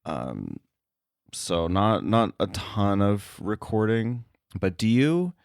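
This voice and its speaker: Low-pitched man, halting casual talk. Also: big pitch swings, gravelly, clean tone, no echo, clean studio sound. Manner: halting, informal, filler-heavy phrasing. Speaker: interview guest, sound editor. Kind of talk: casual chat.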